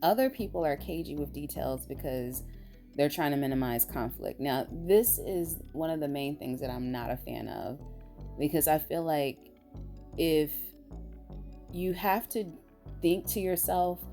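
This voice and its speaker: Raspy low-voiced woman vlogging. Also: normal speed, warm tone, faint music behind. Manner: rambling, blunt, emphatic with asides. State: tired. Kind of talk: casual opinion monologue.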